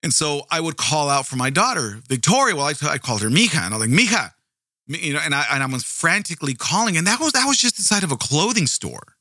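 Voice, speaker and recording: Brief: animated, foul-mouthed male storyteller, projecting voice, somewhat high. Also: quick pace, hardly any pauses, no room sound, studio quality. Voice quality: booming projecting voice. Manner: animated, profane, repeats words. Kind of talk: casual true-crime storytelling.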